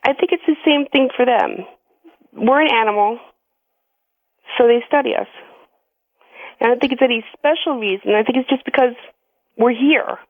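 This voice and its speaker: A woman's voice: on the high side, moderate pace, long pauses, no echo. An American woman calling in to a radio show, chatting casually and giggly. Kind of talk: casual chat. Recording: tinny phone line on radio.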